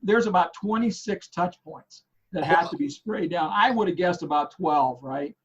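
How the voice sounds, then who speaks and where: A hoarse man with a slightly raised voice talks through golf news in loose, rambling chat. He stops and restarts often and leans on fillers. The sound is a bit dull and lo-fi. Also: fairly high pitch, lively intonation, moderate pace, a little hiss or hum. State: hoarse, losing his voice. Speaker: co-host of a golf news show. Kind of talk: casual chat.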